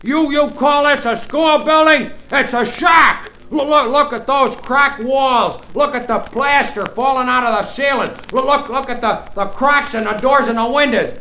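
Jittery stammering small town fella, high pitched, sharp bright tone, old radio comedy. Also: male voice, moderate pace, few pauses, slight background noise, poor audio. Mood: fiery. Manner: stammering, flustered. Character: nervous stammering small-town young man. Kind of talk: 1940s radio comedy acting.

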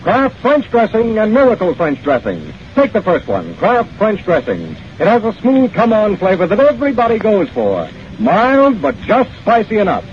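A man's voice: high-pitched, huge pitch swings, moderate pace. A man reading a 1940s radio advertisement as a commercial announcer. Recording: muffled old radio, faint noise.

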